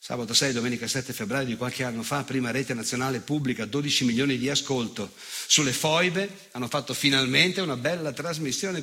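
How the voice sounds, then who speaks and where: An actor playing an unrepentant, nostalgic fascist veteran in a theatrical monologue, cruel and self-righteous, with sardonic rhetorical questions and weighted pauses. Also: male voice, mid-range voice, vocal fry, bright, forceful tone.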